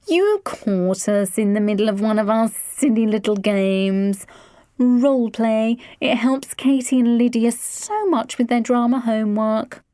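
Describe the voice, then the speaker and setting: A woman's voice: fairly high pitch, measured pace, dark tone, no room sound, natural voice. A woman voicing a fussy, social-climbing mother who gushes dramatically. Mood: gushing. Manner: dramatic, gushing.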